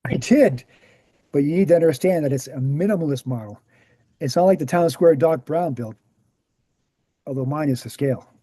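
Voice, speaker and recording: Man, slightly high pitch, soft dark tone, dry sound, assured explainer, scripted drama acted. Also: moderate pace, long pauses, breathy edge, no room sound. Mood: explanatory. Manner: didactic, assured. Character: Gary, confident explanatory man debunking assassination myths. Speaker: actor in a screenplay table read. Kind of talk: scripted dialogue, lecturing explanation.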